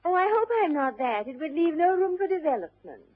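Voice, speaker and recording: A woman's voice: sits high, sing-song, fairly quick. An actress playing a poised, self-assured upper-class young English lady in a classic stage comedy, arch and precise. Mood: coquettish, poised. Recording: thin vintage narrow-band radio recording.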